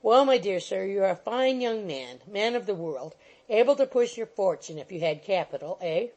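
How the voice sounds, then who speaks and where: A woman's voice: mid-range voice, animated, normal speed, a little mellow, natural voice, poor audio. A woman voicing a fussy, bustling little male attorney who coaxes and keeps saying "my dear sir". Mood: wheedling. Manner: coaxing, repeats "my dear sir".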